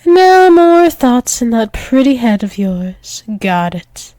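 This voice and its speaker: A woman's voice: on the high side, big pitch swings, takes it slowly, dark tone, no room sound. A woman playing a dominant, doting female alpha werewolf demon in an intimate ASMR roleplay, coaxing and soothing, often dropping into whispers. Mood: teasing, possessive.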